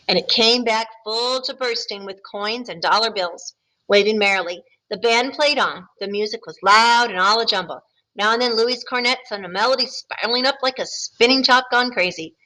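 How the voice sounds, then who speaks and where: Brief: teacher at story time, bright, slightly high, expressive read-aloud. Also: female voice, normal speed, low-quality recording. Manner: expressive, chatty asides to listeners.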